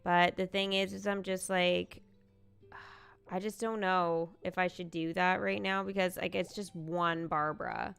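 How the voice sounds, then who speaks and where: A woman with a fairly low, smooth voice that often slips into vocal fry chats casually to her stream. She is excitable and talks through her choices out loud while music plays behind her. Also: unhurried. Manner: excitable, chatty, thinks aloud. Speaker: livestream host, gamer. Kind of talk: casual live game stream chat.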